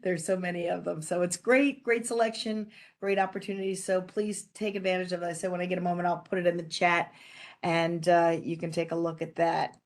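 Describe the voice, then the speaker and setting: A woman giving a quick update to an online meeting, chatty and upbeat in a loose, informal way. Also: on the low side, little pitch movement. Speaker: presenter.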